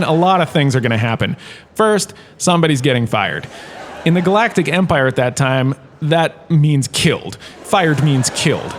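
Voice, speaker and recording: Man acting a washed-up actor turned professor, slightly high voice, wry, opinionated, theatrical pauses, comedic audio drama lecture. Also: a little hiss or hum, natural voice.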